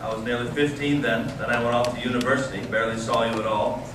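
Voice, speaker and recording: A man playing a bitter, intense young intellectual brother, reading deliberately and earnestly in a staged reading. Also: medium pitch, steady tone, lightly acted. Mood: warm, nostalgic. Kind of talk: staged readers theater reading. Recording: echoey room, distant mic, noisy amateur recording.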